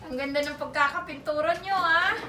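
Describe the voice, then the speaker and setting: A woman, casually mixing Tagalog and English while gently guiding a home tour. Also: high voice, a little fast, very noisy. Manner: gently directing, encouraging. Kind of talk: casual chat, Tagalog-English code-switching. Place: indoors, small house. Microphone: phone camera from a distance. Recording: echoey room, far from mic.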